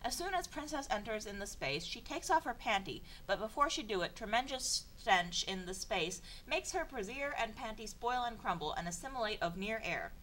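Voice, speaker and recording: Female amateur narrator, animated intonation, exasperated and sardonic, informal comedic read. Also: on the high side, moderate pace, few pauses, poor audio. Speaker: narrator of a comedic fan fiction reading. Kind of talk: informal dramatic reading with asides.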